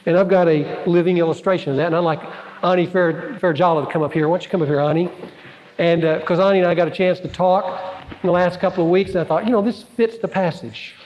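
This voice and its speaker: Man, pastor, emphatic preaching, loud-to-quiet swings, echoing sanctuary. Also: sits high, fairly even intonation, fast, highs cut off. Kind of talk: sermon. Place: church sanctuary. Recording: large hall with long reverb.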